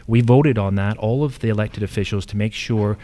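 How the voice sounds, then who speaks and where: A man with an American accent answering as a candidate at a forum, speaking earnestly and emphatically with halting phrasing. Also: fairly low pitch, dark tone. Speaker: incumbent county assessor candidate.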